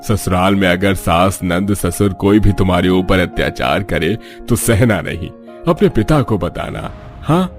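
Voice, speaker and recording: Man acting a middle-aged cartoon father, low voice, protective, earnest, faint music behind. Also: animated, unhurried. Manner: earnest, reassuring. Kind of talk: cartoon story dialogue.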